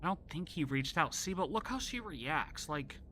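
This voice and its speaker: A man giving casual live playthrough commentary, thinking out loud in a rambling, speculative way with repeated half-phrases. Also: on the high side, lively intonation, moderate pace, slight background noise. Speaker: game streamer.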